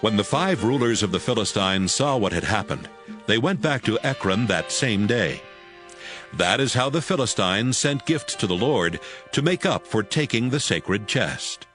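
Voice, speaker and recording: Male narrator for dramatized scripture: lowish pitch, lively intonation, gentle music underneath. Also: normal speed.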